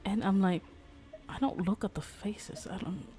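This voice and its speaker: A woman's voice: medium pitch, measured pace, dark tone, in a whisper. A woman chatting casually on a livestream as she plays a game, with a playful, giggly manner. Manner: giggly, playful, prone to breaking into laughter. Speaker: streamer playing a game and chatting with viewers. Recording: game music faintly behind the voice. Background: game music.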